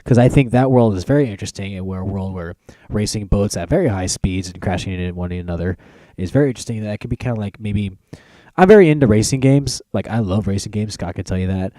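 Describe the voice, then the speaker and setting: Low, mellow man chatting on a podcast. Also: fast talker, no echo. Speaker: podcast host counting down a list. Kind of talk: casual podcast chat.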